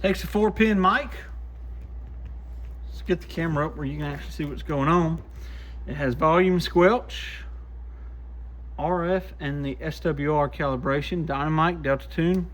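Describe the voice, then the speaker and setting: Higher-voiced man, casual and folksy. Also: moderate pace, long pauses, slight background noise. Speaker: host, CB radio shop technician testing used radios. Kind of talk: casual hands-on product testing commentary.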